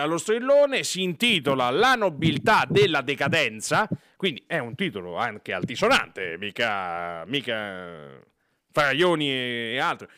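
A man's voice: fairly high pitch, bright, forceful tone, smooth, no echo, studio quality. A man hosting a radio show with comic, casual banter.

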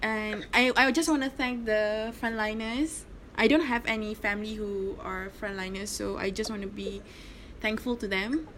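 This is a woman co-hosting a casual podcast over a call, and she sounds playful and teasing and laughs easily. Her pitch sits in the middle range for a woman. A faint background noise runs under her voice. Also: moderate pace, a bit bright. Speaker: podcast co-host. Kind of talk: casual chat. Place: remote call from home.

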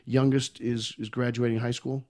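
A middle-aged man in casual conversation, rambling through anecdotes at an ordinary, mid-range pitch. He talks easily and at length, circling back as he goes. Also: little pitch movement, moderate pace, dark tone. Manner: chatty, tells long anecdotes. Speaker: podcast guest. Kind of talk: casual chat.

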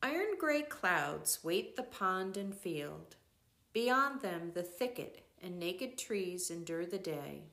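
An older woman giving a measured, expressive read-aloud of literary nature writing, as a scripted narration. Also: lowish voice, gravelly, echoey room. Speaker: reader of a nature devotional book.

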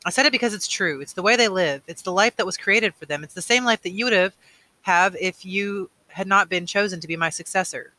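A man voicing a grave, weary male elder mentor in a storybook, measured and earnest. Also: sits high, bright, forceful tone, pitched above the performer's own voice, low-quality recording. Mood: firm.

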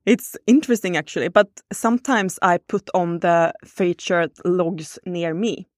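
A woman's voice: fairly low pitch, fairly even intonation, measured pace, no room sound, clean studio sound. A woman, chatting casually on a podcast and thinking aloud with fillers.

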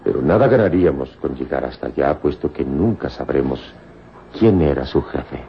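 A man playing a noble, commanding adventurer in an old radio serial, speaking calmly in formal, measured, poetic phrases. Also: a bass voice, creaky, full character voice. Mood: grave, resolute. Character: noble adult adventurer hero, calm and commanding. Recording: muffled, noisy old radio serial recording.

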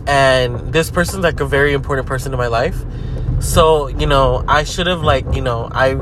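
A man of twenty-five talks while driving, loose and rambling with plenty of swearing and fillers. He is a little sick, which makes his voice sit deeper than usual, though it still sits somewhat high for a man. The car's road noise rumbles clearly behind him. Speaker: podcast host. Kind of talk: casual talking-to-camera vlog. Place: inside a car while driving. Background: car road noise.

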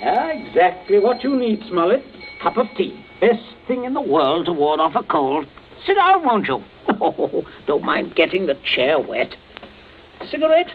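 A genteel middle-aged father with menace under his politeness. His fairly high voice swings animatedly through clipped orders and false cheer. It sounds like a thin, band-limited vintage radio play with faint noise. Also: big pitch swings, unhurried, lo-fi sound. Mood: genial, unruffled. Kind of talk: old-time radio drama acting.

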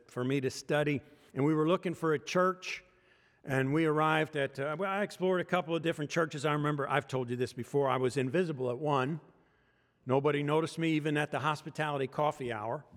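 A man's voice: on the high side, in a large hall. A man with an American accent preaching a sermon as pastor, talking conversationally and joking with the congregation. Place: church sanctuary.

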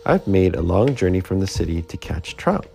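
A man's voice: low voice, sing-song, moderate pace, creaky, warm tone, soft background music, heavily acted. A man doing a fussy city sportsman. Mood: grumbling. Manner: fussy, complaining.

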